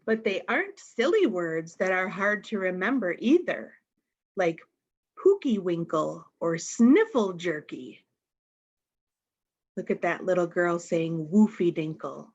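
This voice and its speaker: A woman's voice: on the low side, big pitch swings, slow pace, a little mellow, lo-fi sound. A woman reading a picture book aloud to young children, expressively and addressing them directly with questions. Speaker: storytime reader.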